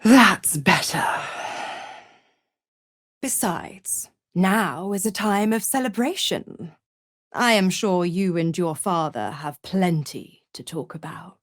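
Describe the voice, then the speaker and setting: A woman's voice: lowish voice, slow. A woman playing a seductive, scheming half-devil in a video game, smug and condescending, with a silky, theatrical delivery and mock sweetness. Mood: gloating. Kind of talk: scripted video game character acting.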